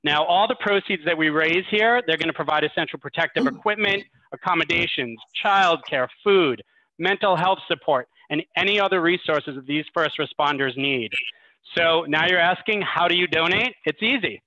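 A man's voice: fairly high pitch, normal speed, bright, forceful tone, no room sound. A man hosting an online charity event, making announcements to the audience over a video call. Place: indoor room, on a group video call. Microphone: video-call microphone. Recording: dull, muffled video-call audio.

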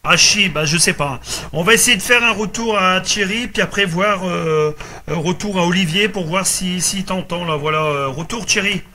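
A man's voice: sits high, normal speed, background noise. A man chatting casually as a radio operator, often repeating himself. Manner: repeats himself, tag words. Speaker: amateur radio operator running a group contact.

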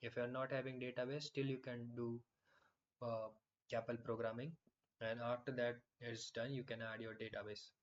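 A man explaining software in a screen-recorded tutorial, with frequent fillers and restarts. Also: mid-range voice, level tone, normal speed, lo-fi sound.

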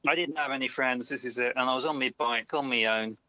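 A man calling in to a chat show, telling easygoing stories with slow fillers over a dull call line. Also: medium pitch, pushed and bright, dry recording. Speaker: caller. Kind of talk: casual chat.

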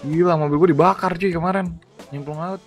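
A man's voice: fairly high pitch, steady tone, brisk, slight background noise. A man chatting casually over gameplay, slangy and exclamatory, often repeating words. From Indonesia. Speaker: gaming YouTuber. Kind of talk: casual excited gameplay chat.

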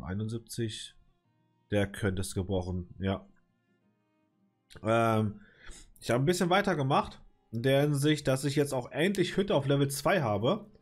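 A man with a cold commenting casually on his city-building game, hesitant and rambling with many fillers, clearing his throat now and then. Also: on the low side, lively intonation, unhurried, soft background music. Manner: rambling, hesitant, lots of filler, mild swearing. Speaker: let's play gamer.

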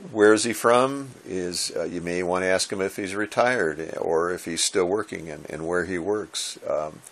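An older American man in his sixties speaks in a low-pitched voice with a creaky, gravelly edge. He gives informal advice to camera, pausing and feeling his way through each thought in a conversational, reflective manner. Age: 60s. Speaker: ham radio hobbyist giving advice. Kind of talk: informal instructional talk to camera. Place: small indoor home radio room.

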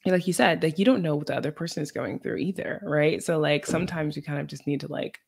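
A woman's voice: low voice, fast talker, creaky, slightly rough. A woman in her thirties hosting an interview over a video call from a room at home, talking in a chatty way with many fillers as she thinks aloud. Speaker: podcast host. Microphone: video call, computer mic.

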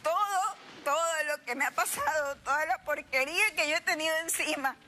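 A woman's voice: high voice, moderate pace, sharp tone. A young woman in distress, tearfully giving an emotional personal account in a TV studio. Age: young adult. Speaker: guest litigant.

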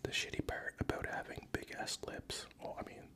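Profane tipsy whisperer, very breathy. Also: normal speed. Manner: profane, rambling, joking. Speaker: ASMR creator. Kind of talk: whispered ASMR chat. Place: home room. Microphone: studio USB microphone.

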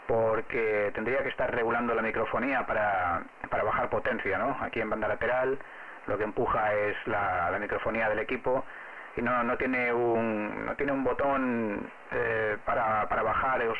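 A man's voice: medium pitch, fairly even intonation, moderate pace. A man with a Spanish accent from Spain talking casually and explaining things as an amateur radio operator, spelling callsigns phonetically. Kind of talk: casual ham radio contact. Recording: narrow phone-like sound with faint radio noise.

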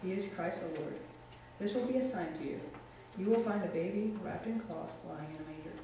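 A man's voice: high-pitched, distant mic, a little hiss or hum. Man reading scripture in an echoey church. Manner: steady measured reading. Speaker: scripture reader. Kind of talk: Bible reading in church service. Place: church sanctuary. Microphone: lectern microphone, far camera.

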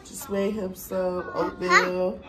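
A woman's voice: on the low side, flat delivery, slow, over loud music. Laid-back Southern woman vlogging on her phone. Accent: American Southern. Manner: chatty, laid-back, slangy. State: stuffy nose. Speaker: vlogger, mom. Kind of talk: casual selfie vlog chat. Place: car at a gas station, then a home kitchen. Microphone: phone held at arm's length.

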